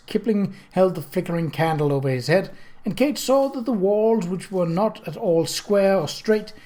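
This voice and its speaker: Higher-voiced man reading a story. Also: darkish tone. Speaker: audiobook reader. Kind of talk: scripted story narration.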